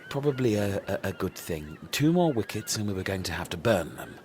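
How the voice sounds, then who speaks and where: A man with a deep voice performs scripted comedy. His intonation swings very widely, and there is clear background noise. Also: very animated, fairly quick, a little mellow.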